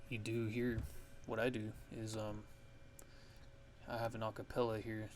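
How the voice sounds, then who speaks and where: A man giving a casual software tutorial, haltingly thinking aloud as he goes. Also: lowish voice, level tone. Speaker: music production tutorial host. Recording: clear background noise.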